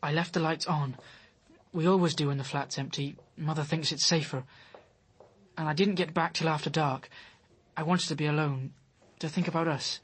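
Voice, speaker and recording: Radio drama role: nervous, inexperienced young man in love, soft-edged breathy voice, hesitant and pleading. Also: a little high, fairly even intonation, normal speed. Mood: subdued. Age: young adult. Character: anxious, lovesick, inexperienced young man, easily led.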